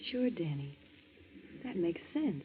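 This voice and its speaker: An actress playing an anxious, trusting young American fiancee in a 1940s radio drama. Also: female voice, on the low side, very animated, takes it slowly, slight background noise. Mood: trusting, curious. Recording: thin, narrow 1940s radio recording.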